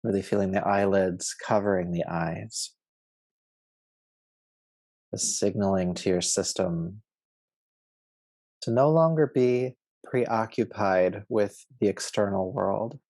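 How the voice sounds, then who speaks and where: Low, gravelly man guiding meditation. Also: darkish tone, no echo. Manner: soothing, measured, instructive. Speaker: yoga nidra meditation teacher. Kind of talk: guided relaxation meditation.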